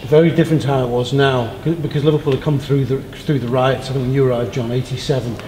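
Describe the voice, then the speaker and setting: Mellow-voiced man from North London, talking fast. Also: mid-range voice, fairly even intonation. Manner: stammering restarts, chatty. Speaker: co-host. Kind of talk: casual interview chat. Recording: echoey room with faint noise.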